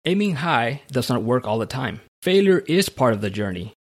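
A man's voice: medium pitch, big pitch swings, moderate pace. Earnest motivational podcast host, male. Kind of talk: scripted monologue, then interviewing.

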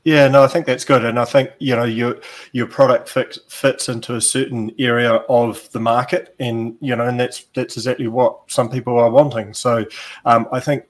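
A man's voice: medium pitch, moderate pace. A man with a New Zealand accent hosting a business podcast, talking casually with frequent ums and restarts. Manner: relaxed, many ums and restarts. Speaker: podcast host. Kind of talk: casual interview.

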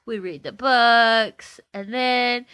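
A woman with a bright, fairly high voice that sounds a little congested. She chats and tells stories in a playful, slangy way as a podcast co-host, recorded close and dry. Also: slow pace, clean tone, no room sound. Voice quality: slightly stuffed-up nose. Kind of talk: casual comic chat and storytelling.

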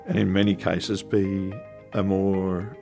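A man, a council director, answering interview questions in a measured way with frequent ums. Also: deep voice, flat delivery, gravelly, music in the background. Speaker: council technical services director.

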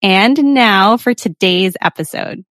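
A woman's voice: medium pitch, takes it slowly, a bit bright, no room sound, studio quality. A woman hosting a podcast, reading a scripted intro and then chatting with a guest in a soothing, friendly, upbeat way. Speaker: podcast host.

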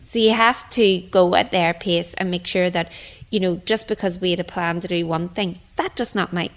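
A woman with a Northern Irish accent speaks as a radio guest. Her pitch is a little low for a woman, and she answers in an informal, conversational style. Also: steady tone, normal speed. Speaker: drama group member, children's teacher, radio guest. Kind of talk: casual interview chat.

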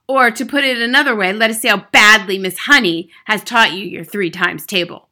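A woman voicing a huge bullying headmistress in a storybook, booming and barking with scorn and rage. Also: fairly quick, sharp tone. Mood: menacing. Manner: booming, barking, hurling insults.

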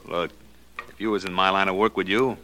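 An actor playing a cocky, evasive loan shark with streetwise slang in 1940s radio detective style. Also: male voice, sits low, fairly even intonation, a little fast, pushed and bright. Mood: cagey, cocky. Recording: old radio recording with faint noise.

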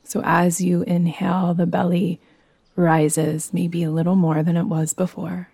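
A woman guiding a yoga nidra meditation in a soothing, gentle, unhurried manner. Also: on the low side, level tone, vocal fry, slightly rough, no echo. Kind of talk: guided meditation.

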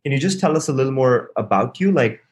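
A man hosting an interview podcast, enthusiastic and quick to chime in. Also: medium pitch, expressive. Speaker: podcast host.

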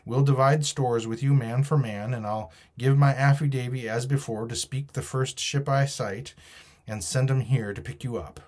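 A man's voice: mid-range voice, fairly even intonation, normal speed, darkish tone, lightly acted. A man voicing a wily, blustering old pirate ringleader who talks in folksy sailor's dialect and oaths in a storybook reading. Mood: wheedling, bargaining.

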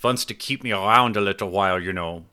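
A man's voice: on the low side, quick pace, bright, forceful tone. A man voicing an easygoing, good-humored retired male captain in audiobook dialogue. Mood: amused.

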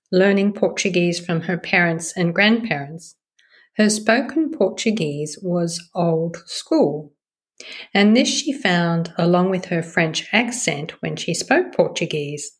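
A woman hosting a podcast, reading a measured, formal introduction and then asking questions in a friendlier tone, recorded over a phone call. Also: mid-range voice. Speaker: podcast host. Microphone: phone over a messaging-app call.

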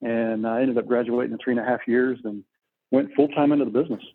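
A man's voice: medium pitch, fairly even intonation, fast, slightly rough. A middle-aged man from Texas, telling his story casually as an interview guest over a remote call. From Texas, United States. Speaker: interview guest, business owner. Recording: muffled, tinny phone-like connection.

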